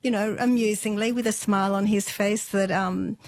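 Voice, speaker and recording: A middle-aged woman, telling stories conversationally and matter-of-factly, with thoughtful pauses. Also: medium pitch, steady tone, no room sound. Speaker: interview guest. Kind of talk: conversational family storytelling.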